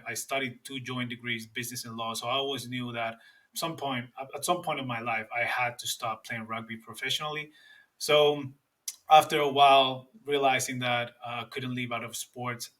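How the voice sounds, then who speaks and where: A Spanish-accented man in his thirties, a native Spanish speaker, answers interview questions in a friendly, earnest way. His voice is bright and forceful. He sounds set back from the microphone. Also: mid-range voice, far from the mic. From Spain. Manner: friendly, earnest, loose phrasing. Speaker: guest, finance executive.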